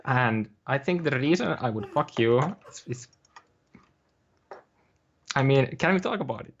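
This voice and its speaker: A young man talks casually on a live stream, stumbling over restarts and fillers. His voice sits at a middling pitch. The audio is slightly dull and lo-fi, with keyboard clicks in the background. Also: expressive, slight background noise. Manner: rambling, halting with many fillers and restarts. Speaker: guest streamer.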